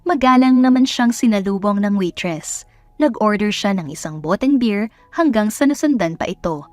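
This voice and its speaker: A woman's voice: mid-range voice, lively intonation, normal speed, no echo. A woman with a Filipino accent reading scripted romance story narration. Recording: dry voice over background music. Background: music.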